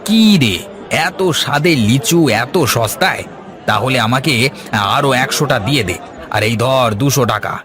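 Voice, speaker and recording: A man's voice: medium pitch, normal speed, fairly bright tone, some chatter behind, close to an everyday voice. A man playing a chatty, curious village customer in a cartoon fairy tale, with a familiar, hearty manner. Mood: delighted.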